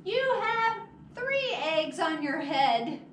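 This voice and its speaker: Woman as a lively, matter-of-fact storybook pig. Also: very high voice, slow, voice pushed up, heavily acted. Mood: cheerful, matter-of-fact. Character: cheerful, matter-of-fact little pig, helpful friend. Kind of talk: children's picture book read-aloud. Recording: echoey, noisy room, far from mic.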